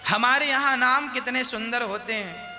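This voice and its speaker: A man's voice: very high voice, normal speed, big-room sound, over music. A man preaching a devotional sermon, scolding and questioning his listeners with rhetorical questions.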